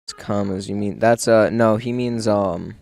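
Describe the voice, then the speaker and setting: A man's voice: lowish voice, steady tone, measured pace, gravelly, darkish tone. A male streamer chatting casually while building in a game, muttering to himself and calling his viewers "bro".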